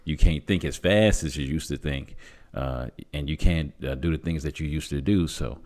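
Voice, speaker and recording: A man in his fifties with an extremely low-pitched voice talks through his views in a podcast discussion. His manner is earnest and encouraging, and the delivery is conversational. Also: moderate pace, a little mellow. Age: 50s. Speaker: podcast host.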